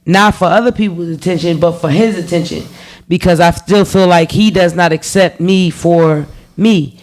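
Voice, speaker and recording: A man's voice: high voice, normal speed, darkish tone. A man, telling his personal story on a podcast in a candid way, repeating phrases and swearing freely. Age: 30s. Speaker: podcast guest.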